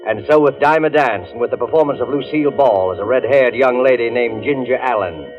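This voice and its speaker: A man hosting a 1940s radio suspense show with a measured, formal, ominous scripted introduction. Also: medium pitch. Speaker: announcer and host. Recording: dull old radio recording, music behind.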